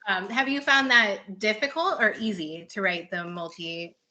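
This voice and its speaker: A woman's voice: medium pitch, normal speed, bright, forceful tone. A woman podcast host chatting casually about her own writing, informal and rambling as she searches for words. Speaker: podcast host and fiction writer. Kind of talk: casual chat.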